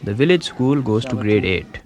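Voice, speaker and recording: A man voicing a young village schoolboy, telling his story in a calm, measured documentary voice-over. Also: on the low side, a crowd in the background. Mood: earnest. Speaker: documentary narrator. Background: music.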